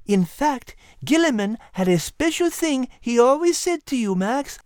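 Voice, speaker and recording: A woman voicing a gentle, clever female cat with a French accent and a polite, encouraging manner. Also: sits high, lively intonation, unhurried, dry recording, clean studio sound. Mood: gentle, consoling. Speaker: podcast co-host character. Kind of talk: scripted character banter.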